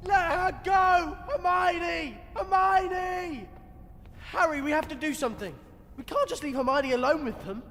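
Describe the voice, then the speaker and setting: A man's voice: very high-pitched, measured pace, a bit bright, long reverb tail, a little hiss or hum, heavily acted. An actor playing a loyal, distraught teenage boy wizard in a game, urgent. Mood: desperate.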